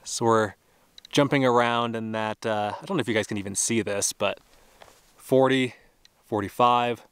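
A man's voice: mid-range voice, normal speed, clear, resonant tone, no echo. A young adult man narrating a casual outdoor travel vlog, easygoing and thinking aloud, with small laughs as he goes. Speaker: travel vlogger. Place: outdoors at a remote test site.

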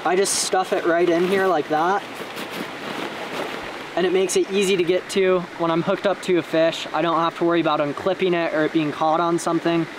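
A young man showing his fishing gear outdoors by a creek, talking in a relaxed, enthusiastic way with casual slang. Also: on the high side, a little fast. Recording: creek water noise behind.

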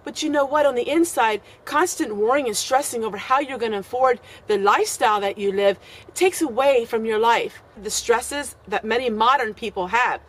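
A middle-aged woman with a fairly high voice speaks to her camera outdoors. She explains things in a casual, earnest vlog style, leaning on key phrases and repeating them for emphasis. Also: normal speed. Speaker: homesteading vlogger and host. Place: outdoors on a deck and yard of a rural home.

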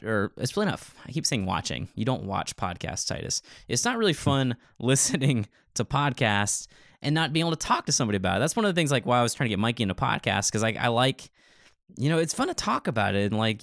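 Male podcast co-host, mid-pitched, informal and chatty storyteller, very dry recording. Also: creaky, no echo. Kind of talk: casual podcast chat.

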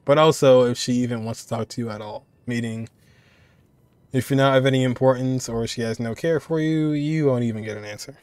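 Male, 20s, mid-pitched, informal reaction commentator thinking aloud, close dry recording. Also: fairly quick, a little mellow, clear, resonant tone, no echo. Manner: informal, musing aloud, repeats phrases. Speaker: reaction video creator. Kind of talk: casual game reaction commentary.

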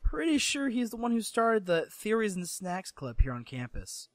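A man's voice: high voice, big pitch swings, moderate pace, no room sound. A man voicing a brash, teasing young male gamer friend in a visual novel. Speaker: voice actor in a fan dub.

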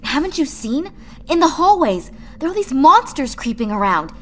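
A woman's voice: high-pitched, big pitch swings, normal speed, fairly bright tone. A young woman with an American accent acting a bossy, anxious teenage schoolgirl in a horror video game. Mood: anxious, insistent. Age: young adult. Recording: background music under dialogue.

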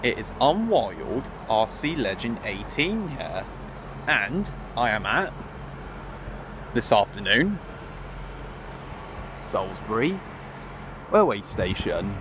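A man's voice: lowish voice, big pitch swings, takes it slowly, pauses often. A young man chatting eagerly to his viewers as he vlogs trains from a railway platform, filming on a phone at arm's length. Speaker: trainspotting vlogger. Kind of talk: casual vlog commentary. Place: outdoors on a railway station platform. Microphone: phone camera held at arm's length. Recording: faint outdoor background noise. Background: faint outdoor traffic and station ambience.

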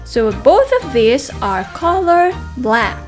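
A woman teacher reading a picture book aloud to toddlers in an animated, sing-song manner, with simple repeated words and questions. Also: high-pitched, slow pace. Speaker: children's teacher and read-aloud host. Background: background music.

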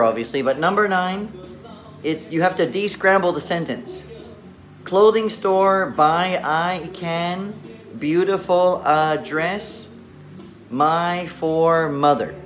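A middle-aged man teaching young students on camera, encouraging and enunciating deliberately, his voice slightly muffled by a face mask, recorded in a classroom at arm's length. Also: on the high side, fairly bright tone. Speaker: children's English teacher. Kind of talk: talking young students through worksheets on camera. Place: indoor classroom. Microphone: phone or camera at arm's length.

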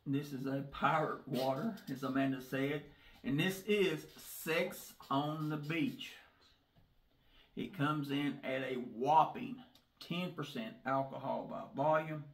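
A man's voice: fairly high pitch, fairly even intonation, slow, creaky, far from the mic. A man in his fifties with a Southern US accent, chatting casually as co-host of a beer review. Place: small indoor room, seated at a table. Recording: roomy echo.

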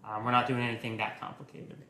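A young man in his twenties giving a relaxed, step-by-step live cooking demonstration in a home kitchen, filmed by a camera at a distance. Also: medium pitch, fast, roomy sound. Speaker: cooking instructor.

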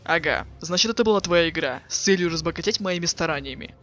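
A man voicing a cool, sarcastic teenage boy with offhand deadpan delivery in a webcomic dub. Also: a little high, fast talker, soft background music, lightly acted. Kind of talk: fan dub of a webcomic chat.

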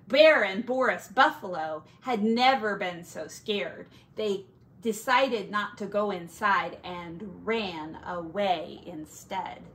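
A woman teacher reading a picture book aloud to young children, with drawn-out emphasis and playful asides. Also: mid-range voice, a bit bright, slight background noise.

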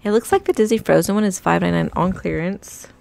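Chatty woman with a gravelly voice. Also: on the low side, quick pace, a little mellow. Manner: chatty, upbeat, reads out prices. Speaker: shopping vlogger. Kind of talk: casual shop-with-me narration. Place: inside a large retail store.

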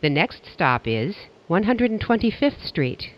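A man's voice: high-pitched, sing-song, unhurried, dull audio. A man reading scripted transit announcements as a train announcer, in formal, segmented phrasing.

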